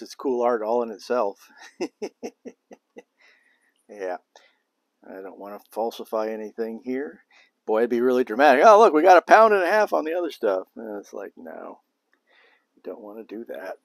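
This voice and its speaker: A man's voice: normal speed, gravelly, long pauses, no room sound. An older man hosting a beekeeping demonstration, talking casually and thinking aloud as he works on an outdoor patio. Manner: relaxed, thinking aloud, low-key. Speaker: beekeeping video host.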